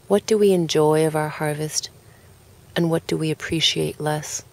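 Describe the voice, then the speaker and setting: A woman narrating a guided relaxation in a calm, gentle and unhurried way, leaving pauses between phrases. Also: deep voice.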